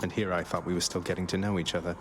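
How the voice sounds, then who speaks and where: Man as a deep-voiced wry young prince. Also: little pitch movement, rapid-fire, very noisy, lightly acted. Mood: dry, wry. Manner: dry, polite. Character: wry rebellious young male underworld prince. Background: loud music.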